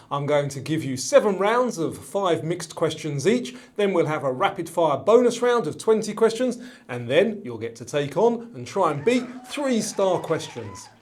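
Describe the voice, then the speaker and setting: A man hosting a quiz, reading scripted questions and instructions to the player in a clearly enunciated presenter's delivery, with music behind him. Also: high-pitched, a little mellow. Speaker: quiz show host. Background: background music.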